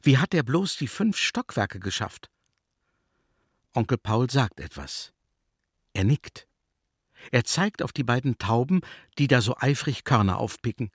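A man's voice: medium pitch, very animated, measured pace, long pauses, breathy edge, no echo, clean studio sound. A man narrating a children's radio play as a scripted storyteller.

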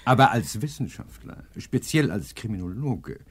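An actor playing a pompous, exacting scientist-detective in a German radio crime drama, pedantic and condescending. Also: male voice, lowish voice, big pitch swings, normal speed, slightly rough.

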